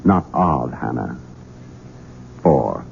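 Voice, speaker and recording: An actor playing a coldly controlling heir in his thirties in a 1970s radio drama, taunting and deliberate, with insinuating menace. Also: extremely low pitch, lively intonation, vocal fry, full character voice. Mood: menacing, accusing. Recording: muffled, noisy 1970s radio recording.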